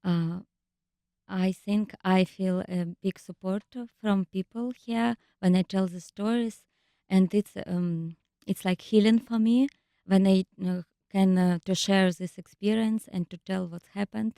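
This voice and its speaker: A woman from Ukraine speaking English with a Ukrainian accent, answering carefully and haltingly with many fillers. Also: mid-range voice, fairly even intonation, gravelly, warm tone, clean tone, dry recording, clean studio sound. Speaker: visual artist and storyteller. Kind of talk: interview answers. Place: radio studio.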